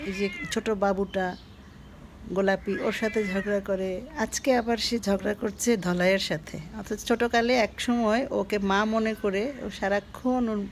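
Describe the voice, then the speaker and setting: A woman's voice: medium pitch, gravelly. Woman chatting casually over noisy background. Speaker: pet owner vlogger. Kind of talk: casual vlog commentary about pet cats. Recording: noisy home-video recording with cat sounds.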